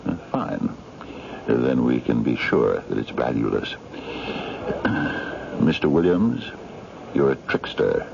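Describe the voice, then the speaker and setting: An actor playing a courtly, menacing old chess hustler in a 1970s radio drama, speaking formally and deliberately with a gravelly voice. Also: male voice, very deep voice, very animated, full character voice. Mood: mocking, suspicious. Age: older. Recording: noisy, dull vintage radio broadcast.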